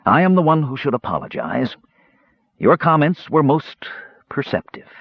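A man's voice: medium pitch, sing-song, normal speed, breathy edge, dry recording, muffled recording, pitched above the performer's own voice. A man voicing a frail, bitter mage with a cold, sarcastic, demanding manner in a fantasy audiobook. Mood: weary, grave. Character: frail, bitter, sharp-tongued male mage.

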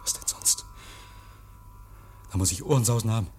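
Nervöser, getriebener Mann mit rauer Stimme. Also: male voice, lowish voice, normal speed, darkish tone, husky, soft background music. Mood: haunted, listening. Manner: agitated, stammering, insistent, hears imaginary noises. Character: nervous, paranoid man, Mister Oak, hiding guilt from police. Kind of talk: 1950s German radio play acting. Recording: vintage 1950s radio recording.

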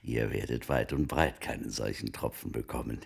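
Very deep, gravelly wily merchant. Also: male voice, exaggerated voice. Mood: smug, boastful. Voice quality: gravelly, husky. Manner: formal archaic phrasing, deliberate storytelling. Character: wealthy, wily Italian merchant of old, defending himself. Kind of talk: German radio play acting.

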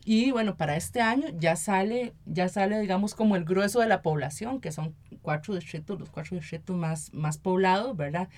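A woman's voice: on the low side, moderate pace, few pauses, a little mellow. A woman with a Costa Rican accent speaking as a guest in a conversational radio interview, explaining at length and often checking in with tag questions. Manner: explanatory, often tags statements with "¿verdad?". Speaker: interview guest, local council member and activist.

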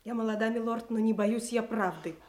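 A woman's voice: a little high, steady tone, fairly quick, a little mellow, reverberant, close to an everyday voice. An actress playing a king's honest, steadfast youngest daughter, earnest and restrained in a classical audio drama. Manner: earnest, plain-spoken, restrained.

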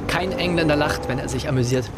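An actor playing a reserved, stubborn young Englishman in a German radio drama, speaking in short, polite, deadpan phrases. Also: on the high side, brisk, background noise, close to an everyday voice. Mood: dry, aloof.

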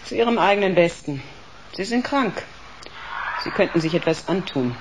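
An actress playing a cold, authoritative professor of psychiatry in a radio drama, measured and clinical. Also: female voice, fairly low pitch, expressive, noisy background, lightly acted. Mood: coolly clinical. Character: cold, authoritative female professor running a locked psychiatric ward.

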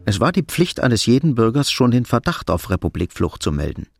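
A male narrator of a radio crime drama. His mid-pitched voice moves with lively intonation, yet stays measured and matter-of-fact, in a close dry sound. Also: vocal fry, dry recording, faint music behind.

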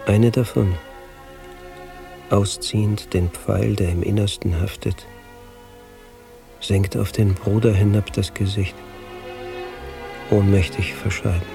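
Slow, low, grave male reciter. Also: flat delivery, gravelly, over music. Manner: measured, grave, declamatory. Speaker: narrator in a radio play. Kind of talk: solemn literary recitation of classical verse.

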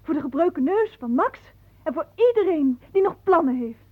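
An actress playing a cheeky, eager, defiant young niece in a radio play. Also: female voice, very high-pitched, animated, normal speed. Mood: teasing. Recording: muffled phone-like old radio recording.

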